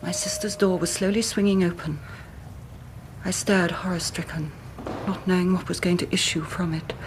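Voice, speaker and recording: An actress playing a grieving young English gentlewoman in a radio drama, speaking formally and precisely as a dramatic storyteller. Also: on the low side, fairly even intonation, moderate pace, background noise, lightly acted. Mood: haunted, dramatic. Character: grieving young gentlewoman recounting her twin sister's death.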